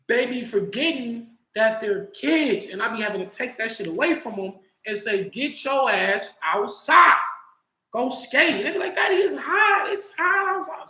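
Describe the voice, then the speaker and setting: A man in his early forties from Los Angeles, chatting loosely on his own livestream with slang, swearing and animated storytelling. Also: sits high, echoey room, low-quality recording. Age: 40s. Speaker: livestream host. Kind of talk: casual livestream chat.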